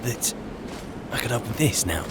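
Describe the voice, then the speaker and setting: A man's voice: mid-range voice, expressive, moderate pace, heavy background noise, close to an everyday voice. A man playing a wry adventurer, musing aloud to himself and his companions as he roleplays a fantasy video game. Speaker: player roleplaying a game character on a let's play channel. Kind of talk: in-character improvised game narration.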